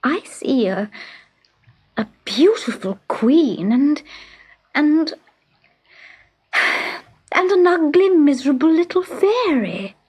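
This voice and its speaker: A woman's voice: sits high, wildly swooping pitch, slow, warm tone, voice pushed up, heavily acted, rough recording. A woman voicing a small, earnest, kindly young fairy girl in a children's storybook, with an eager, exclaiming manner. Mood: miserable.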